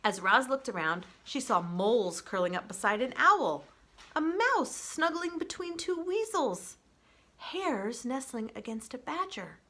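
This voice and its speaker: A woman reading a children's story aloud in an expressive storybook narration style, as a librarian would. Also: a little high, unhurried.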